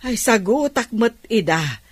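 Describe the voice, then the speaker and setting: A woman's voice: on the high side, very animated, slow, slightly rough, no echo, exaggerated voice. A woman playing a remorseful, grateful aging aunt in an Ilocano radio drama. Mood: grateful, moved.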